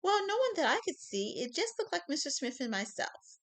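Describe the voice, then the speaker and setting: A woman's voice: high voice, sing-song, brisk, a bit bright, voice pushed up. A woman voicing a kind, chatty old lady testifying as a witness in a classroom courtroom skit. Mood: sweet, earnest.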